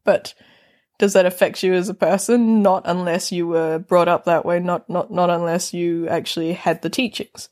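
A woman's voice: lowish voice, level tone, moderate pace, a little mellow. A woman with a New Zealand accent in casual conversation, laughing often and talking with many fillers.

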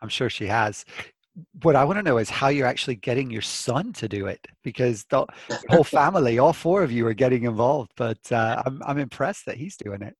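A man's voice: mid-range voice, animated, brisk, slightly rough, dry recording. A man hosting an interview over a video call, asking friendly, lightly teasing questions. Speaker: podcast host. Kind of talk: casual interview chat.

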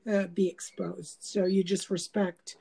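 Mellow-voiced woman musing in a casual chat. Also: mid-range voice, level tone, measured pace, gravelly. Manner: meandering, reflective, with many fillers. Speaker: host.

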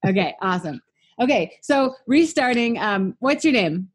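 A woman in her thirties hosting a casual interview, chatty and upbeat, firing off quick follow-up questions. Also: on the high side, lively intonation, breathy edge, faint music behind. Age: 30s.

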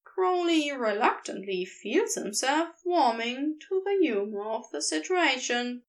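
A woman narrating a fan fiction story aloud in an expressive, measured storytelling manner. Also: high voice. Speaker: podfic reader.

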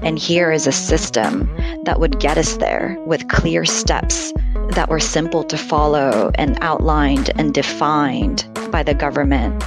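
A woman born in Japan and raised in several countries, a journalist guest who speaks in a measured, careful way. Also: low voice.

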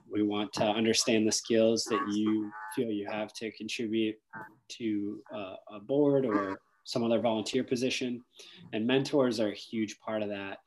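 A woman's voice: very deep voice, mellow, rough recording. Female host, conversational interviewer, appreciative and encouraging with the guest.

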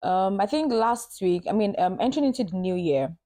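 A woman's voice: mid-range voice, expressive, dark tone. Bubbly Nigerian woman as podcast guest. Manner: bubbly, laughing, many ums and pauses. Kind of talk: casual podcast chat.

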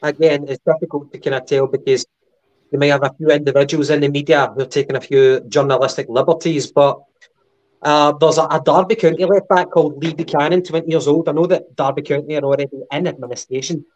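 A man co-hosting a podcast, going through football news in a measured, casual way with lots of ums and uhs. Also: on the high side, steady tone, a little mellow, highs cut off. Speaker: podcast co-host.

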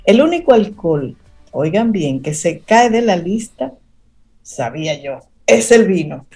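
A woman's voice: lowish voice, sing-song, a little slow, warm tone, breathy edge, soft background music. A woman co-hosting a radio show, reading segments with playful asides. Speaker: radio co-host.

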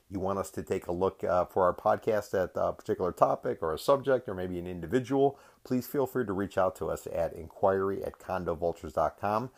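A man's voice: sits low, no room sound. A man hosting an interview podcast in a casual, chatty, informal way with emphatic delivery. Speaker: podcast host.

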